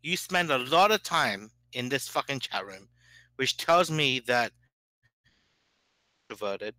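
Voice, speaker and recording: A man's voice: mid-range voice, expressive, slow, pushed and bright, dry recording, low-quality recording. A man in a heated online voice-chat argument, aggressive and insulting, swearing constantly. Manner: aggressive, insulting, heavily profane. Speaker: debate stream participant.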